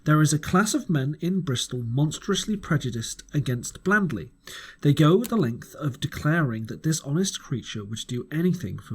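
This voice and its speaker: A man voicing an excitable, boastful and gullible squire in an emphatic storybook letter reading. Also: on the high side, breathy edge. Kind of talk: storybook letter read aloud.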